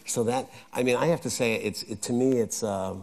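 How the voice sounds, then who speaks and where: A man's voice: mid-range voice, normal speed, vocal fry, darkish tone. A man on a festival panel who rambles and repeats his words as he thinks aloud. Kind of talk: panel discussion on stage. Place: festival stage.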